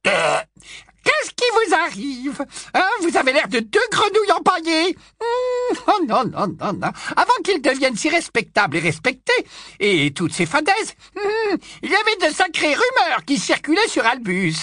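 Brief: shrill, cackling ancient aunt, swooping wildly in a dry, close booth. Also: male voice, wildly swooping pitch, moderate pace, sharp tone, no echo, heavily acted. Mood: gossipy. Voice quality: shrill, high squawk, endlessly repeating "non, non, non". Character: cackling, nosy, ancient aunt, 107 years old. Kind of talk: storybook reading.

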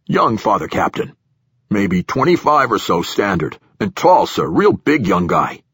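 A man's voice: on the low side, sing-song, measured pace, fairly bright tone, slightly rough, no echo, full character voice. A man voicing a flustered, defensive male station director in an audiobook, deferential and hedging with folksy phrasing. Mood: defensive, formal. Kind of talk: audiobook character dialogue.

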